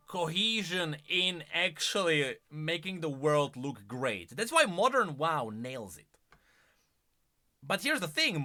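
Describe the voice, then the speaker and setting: A man's voice: fairly high pitch, bright, forceful tone. A man giving casual live-stream commentary on gaming news, thinking aloud with rhetorical questions and emphatic repeats. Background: background music.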